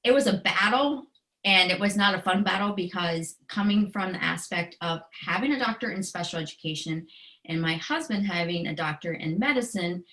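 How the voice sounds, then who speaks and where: An American woman in middle age speaks with a voice a little lower than most women's. She is earnest, emotionally open and conversational, telling personal anecdotes as an educator and author being interviewed. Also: animated, normal speed, fairly bright tone, low-quality recording.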